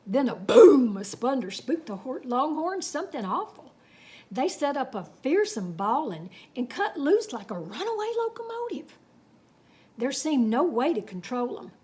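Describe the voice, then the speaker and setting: Children's story reader: woman, high-pitched, very lively and folksy. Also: moderate pace. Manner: animated, folksy, dramatic. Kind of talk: expressive storybook read-aloud with folksy asides.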